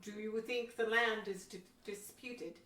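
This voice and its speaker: A woman's voice: medium pitch, fairly even intonation, measured pace, bright, forceful tone. A woman playing a devout, resolute Pilgrim pastor in a historical audio play, with earnest, formal old-fashioned phrasing. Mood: questioning. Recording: roomy echo, distant from mic.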